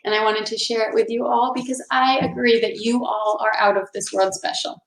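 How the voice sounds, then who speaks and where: Woman, read-aloud host, slightly high pitch, deliberate, encouraging, storytelling for children, roomy echo. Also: steady tone. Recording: echoey, reverberant room.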